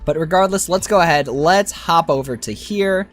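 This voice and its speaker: A woman's voice: on the low side, normal speed, soft background music. A woman giving casual gameplay commentary as a streamer, thinking aloud in an informal way. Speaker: game streamer.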